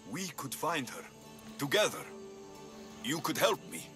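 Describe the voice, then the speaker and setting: Earnest, defiant, lively young warrior. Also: male voice, on the high side, measured pace, soft background music, full character voice. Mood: pleading determination. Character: earnest, defiant young Greek mercenary brother seeking family. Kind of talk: video game dramatic acting.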